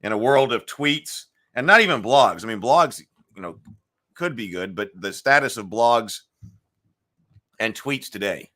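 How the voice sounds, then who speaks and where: A middle-aged man hosting a casual morning live stream, his voice gravelly today, clearing his throat often and thinking aloud with fillers. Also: fairly low pitch, expressive, unhurried, bright, forceful tone.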